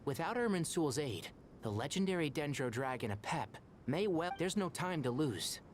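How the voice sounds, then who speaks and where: An actor playing an earnest, articulate scholar who explains plans in an anime-style video game. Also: male voice, fairly high pitch, sing-song, normal speed, a little hiss or hum. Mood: resolute.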